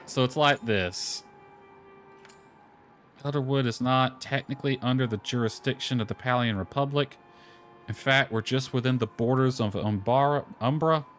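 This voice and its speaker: Male gamer, mid-pitched, offhand and conversational, narrating a playthrough over background music. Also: normal speed. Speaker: gamer commenting on own playthrough. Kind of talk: casual game commentary, reading dialogue aloud. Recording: lo-fi music playing behind.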